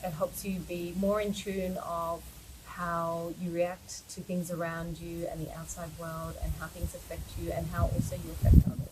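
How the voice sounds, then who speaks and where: Gentle Australian woman, fairly low voice. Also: flat delivery, normal speed, heavy background noise. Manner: gentle, earnest, with soft fillers. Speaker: yoga teacher. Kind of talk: personal message to camera.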